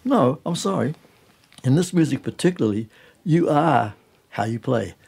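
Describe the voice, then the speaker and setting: An older man from New Zealand speaks as a guest on a music show, his middle-pitched voice husky and full of gravel. He tells stories informally, leaning hard on his points and often cutting across his own sentences. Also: lively intonation, normal speed, a little mellow. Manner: emphatic, colloquial, self-interrupting. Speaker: jazz pianist, studio guest. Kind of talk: casual conversational interview, telling anecdotes.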